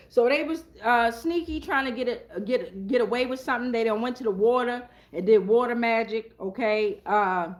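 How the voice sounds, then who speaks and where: A woman hosting an improvised tarot reading, talking casually in a blunt, slangy, profane way and repeating phrases for emphasis. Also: on the high side.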